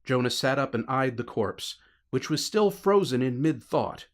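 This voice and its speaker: Animated male audiobook narrator. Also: mid-range voice, moderate pace. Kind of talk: scripted dramatic fiction narration.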